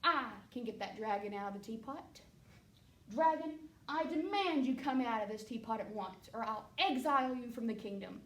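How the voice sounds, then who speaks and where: Grumpy king, loud slow commands, woman voicing, roomy room, far mic. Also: medium pitch, natural voice. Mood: irritated. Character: stern demanding king. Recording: roomy distant mic, faint background noise.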